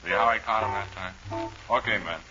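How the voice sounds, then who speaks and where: A man's voice: lowish voice, normal speed, over music. A man with an American accent hosting an old-time radio show, rambling through ad-libbed chat and commercials in a folksy, wry way. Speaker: radio host. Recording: dull, noisy old radio broadcast recording.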